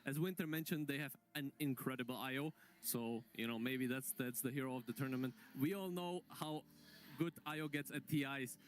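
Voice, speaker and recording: A man's voice: medium pitch, fairly quick. A man giving casual esports analysis as a player on a discussion panel.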